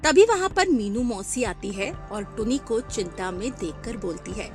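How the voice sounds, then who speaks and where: A woman narrating a children's cartoon story in a clear, storytelling cadence. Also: mid-range voice, expressive, a little slow, darkish tone, soft background music.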